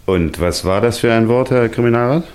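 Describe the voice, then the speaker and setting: A man playing a curious, slightly slow-witted detective's sidekick in a radio mystery, speaking in puzzled, eager short questions. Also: mid-range voice, a little mellow, close to an everyday voice. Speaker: detective's conversation partner. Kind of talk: 1960s German radio mystery acting.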